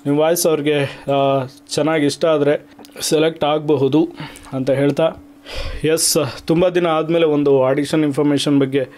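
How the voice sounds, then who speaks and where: A man speaks in a relaxed, mellow tone that sits a little higher than most male voices. He hosts an online explainer, talking conversationally to his viewers. He moves through instructions one step at a time in an informal style. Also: normal speed, pauses often. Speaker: online video host.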